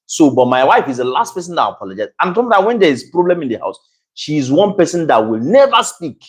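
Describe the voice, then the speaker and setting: A man chatting casually with his wife for their online audience. He speaks with a loud voice and animated delivery. His pitch rises and falls widely across the conversation. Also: quick pace. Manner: loud, animated, addressing viewers. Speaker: husband co-hosting a couple's channel. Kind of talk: casual chat.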